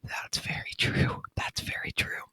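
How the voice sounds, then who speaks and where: A man whispering softly and breathily in an unhurried ASMR review style, close to the microphone. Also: no room sound. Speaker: ASMR video host.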